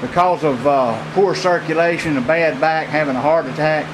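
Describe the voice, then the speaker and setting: A middle-aged man, a knife maker giving a casual, rambling tour of his small metal workshop, with many pauses and fillers. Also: a little high. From Louisiana, USA. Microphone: handheld camera mic. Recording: noisy handheld camera audio.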